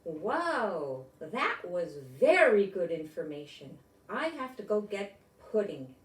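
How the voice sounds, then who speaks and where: An older woman voicing a small, earnest, excitable chipmunk in a storybook, speaking with pleading emphasis. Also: on the low side, slow. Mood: excited. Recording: roomy echo, distant microphone.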